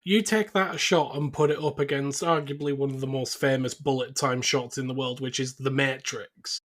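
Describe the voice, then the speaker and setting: A man's voice: mid-range voice, fairly even intonation, moderate pace, a bit bright. A man hosting a podcast, steering a casual film discussion in a blunt way. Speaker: podcast host guiding the discussion.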